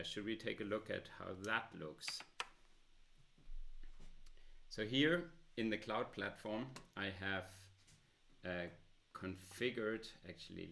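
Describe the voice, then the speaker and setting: A man with a loud voice presenting a smartphone app in a casual demo, with many filler pauses. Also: low-pitched, gravelly.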